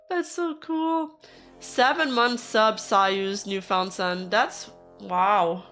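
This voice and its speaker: Hoarse woman streamer, unhurried rambling chat, faint music underneath. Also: on the high side, steady tone, fairly bright tone. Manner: chatty, rambling, easily laughing. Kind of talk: casual livestream chat with viewers.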